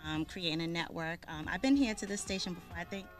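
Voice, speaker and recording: A woman's voice: fairly low pitch, fast talker, soft background music. A woman from Boston, a guest on the show, talking casually with lots of ums and restarts. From Boston, Massachusetts. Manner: chatty, lots of ums and restarts, laughs easily. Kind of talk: casual interview talk.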